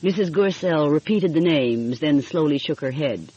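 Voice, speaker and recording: A woman with a husky voice reading a mystery story aloud as scripted audiobook narration. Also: deep voice, expressive, moderate pace, dark tone, highs cut off.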